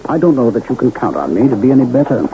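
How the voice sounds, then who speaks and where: An actor playing a smooth, cryptic travelling medicine man and charlatan illusionist in a radio drama, speaking in a courtly, theatrical manner. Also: medium pitch, big pitch swings, very fast. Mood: grave, measured. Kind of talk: 1980 radio drama acting. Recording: dull, noisy vintage radio broadcast.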